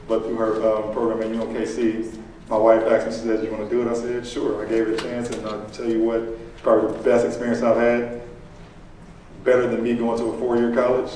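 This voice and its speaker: An easygoing man in his late twenties tells a live audience about his contracting business in a loose, chatty way, full of ums and uhs. His voice sits at a middle pitch and comes from some way off the mic, and a strong echo rings around it. Faint background noise hangs underneath. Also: distant mic. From Illinois, USA. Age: late 20s. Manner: relaxed, conversational, frequent fillers. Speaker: contractor and aspiring entrepreneur. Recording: echoey room, picked up from afar.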